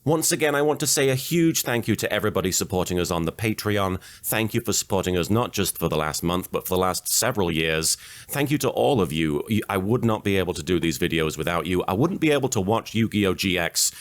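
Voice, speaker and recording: A man's voice: low-pitched, lively intonation, fairly quick. A man narrating a scripted comedic recap as a reviewer, with a wry, sarcastic manner. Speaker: reviewer, host of recap series.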